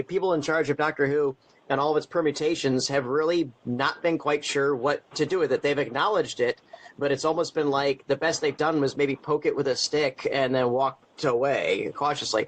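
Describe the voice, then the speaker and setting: A man's voice: on the high side, low-quality recording. A man co-hosting a radio show, asking wordy, roundabout questions in a conversational interview style.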